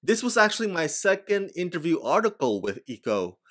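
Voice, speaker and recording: A man's voice: high voice, a little fast. A man in his forties from Indonesia, whose first language is Indonesian, giving a blunt, emphatic scripted film review to camera from a small room on a desk microphone. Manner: blunt, emphatic, animated delivery. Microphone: desk microphone in front of him.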